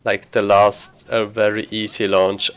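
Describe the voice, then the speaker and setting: Guy with a lowish voice casually talking thru his game run, kinda halting, thinking out loud. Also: flat delivery, smooth. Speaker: speedrunner commentating gameplay. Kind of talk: casual live gaming commentary.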